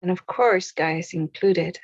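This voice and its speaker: Woman, meditation guide, very slow delivery, short spaced phrases, soothing, dry muffled recording. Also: fairly low pitch, no echo. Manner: soothing, unhurried, pausing between short phrases.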